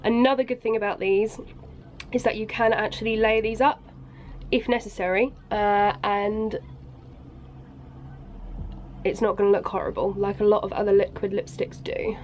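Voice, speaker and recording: A woman's voice: mid-range voice, little pitch movement, normal speed, pauses often, a little mellow, slight background noise. A woman with a bunged-up voice from a cold, chatting casually through a makeup review at home. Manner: chatty, apologetic, frequent ums. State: congested from a cold. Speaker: beauty vlogger. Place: bedroom at home by a busy road.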